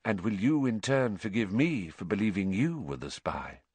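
A man voicing a mild, polite, composed man with a restrained and quietly grim manner in a story. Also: fairly low pitch, sing-song, normal speed, slightly rough, no room sound. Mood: calm, grim.

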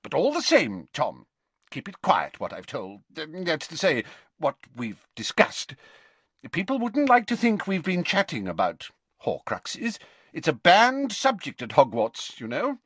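A man voicing a flustered, uneasy older wizard professor in an audiobook, gruff, faltering and emphatic. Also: a little high, huge pitch swings, measured pace, fairly bright tone, no room sound, voice pushed up, exaggerated voice. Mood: nervous, furtive. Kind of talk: audiobook character voice.

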